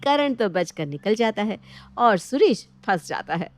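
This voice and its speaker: A woman's voice: mid-range voice, big pitch swings, measured pace, no room sound. A woman storyteller reading a scripted moral story in narration style.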